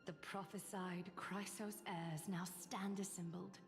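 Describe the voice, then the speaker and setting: A woman's voice: lowish voice, steady tone, a little slow. An actress playing a regal, brazen noblewoman who proclaims prophecy in a fantasy game, stately and assured. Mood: ceremonious. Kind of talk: scripted fantasy game acting. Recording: long reverb, faint game music.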